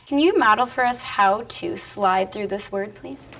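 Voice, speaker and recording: A woman's voice: medium pitch, measured pace, a bit bright, soft background music. A woman who teaches elementary school, explaining reading strategies and reading aloud in an instructional way.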